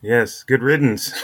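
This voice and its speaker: A man's voice: a little high, bright, forceful tone, slightly rough, no room sound, clean studio sound. A middle-aged man hosting a casual sports podcast, chatting and introducing interviews. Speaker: podcast host.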